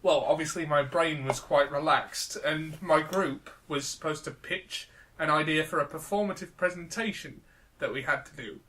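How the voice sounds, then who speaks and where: A man's voice: fairly high pitch, moderate pace, sharp tone. A young man with a British accent, chatting casually as a podcast co-host in a rambling, chuckly way. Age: young adult. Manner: rambling anecdotes, frequent chuckling. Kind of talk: casual comedic chat.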